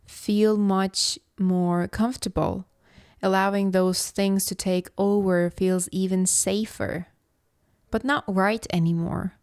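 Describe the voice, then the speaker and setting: Woman, low steady voice, dry close sound, slow and thoughtful, solo podcast host. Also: clean tone, no echo. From Istanbul, Turkey. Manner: slow, contemplative, measured delivery. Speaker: podcast host and philosophy coach. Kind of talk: reflective solo podcast monologue.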